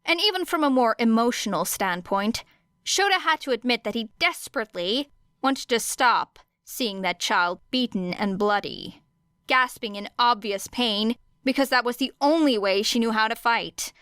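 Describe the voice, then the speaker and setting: A woman narrating scripted fiction as an audiobook, measured, with deliberate pauses. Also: a little high, expressive, a bit bright, clean tone, no room sound, clean studio sound.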